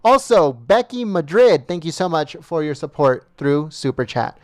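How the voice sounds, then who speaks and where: A man's voice: a little high, big pitch swings, moderate pace, darkish tone, no room sound, studio quality. A man hosting a livestream, reading out viewer names and thanking each in turn while losing his voice, with small stumbles over names. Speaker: livestream host.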